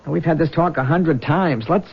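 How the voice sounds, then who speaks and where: An actor playing an earnest young soldier in love in a radio drama, speaking haltingly and eagerly. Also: male voice, fairly high pitch, creaky, slightly rough. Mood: frustrated, pleading. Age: young adult. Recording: muffled old radio broadcast.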